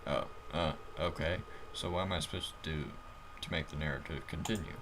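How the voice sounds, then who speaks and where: A man's voice: extremely low pitch, fairly even intonation, a little fast, noisy background. A man voicing a bewildered, anxious young man turned cat in a comic game, questioning and flustered. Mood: puzzled, flustered.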